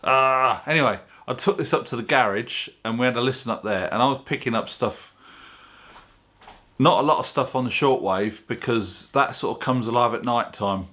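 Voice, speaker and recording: A man's voice: medium pitch, moderate pace, sharp tone. A man presenting his own video in a chatty, informal, plain-spoken way, explaining things casually to camera. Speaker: video channel host.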